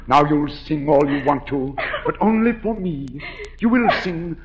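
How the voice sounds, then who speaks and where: A man's voice: fairly high pitch, lively intonation, measured pace, muffled recording. An actor playing an obsessed masked madman in a 1940s radio drama, coaxing and pleading with feverish intensity. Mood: feverish, adoring. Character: obsessed, masked madman luring a young woman underground. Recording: noisy old 1940s radio broadcast.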